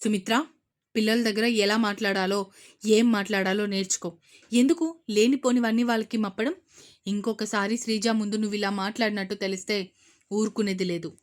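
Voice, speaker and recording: A woman voicing an angry, stern middle-aged father who shouts and scolds in a Telugu audio story. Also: mid-range voice, steady tone, fairly quick, close to an everyday voice.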